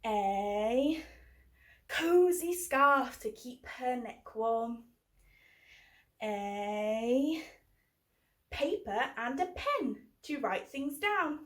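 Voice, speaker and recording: A British woman hosts a children's book club. Her voice is high with a lot of melodic movement as she talks young children through an activity. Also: lively intonation, extremely slow, long pauses.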